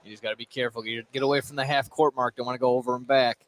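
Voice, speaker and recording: A man's voice: mid-range voice, fairly even intonation, very fast, poor audio. A man giving casual color commentary on a live basketball game from a gym. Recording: clear gym noise, bouncing basketballs. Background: basketball bounces, gym ambience.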